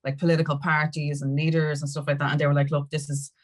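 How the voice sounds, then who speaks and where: Emphatic Irish woman, lowish voice. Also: little pitch movement, fast. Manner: emphatic, rambling storytelling with acted-out quotes. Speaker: guest, music industry campaigner. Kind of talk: candid conversational interview.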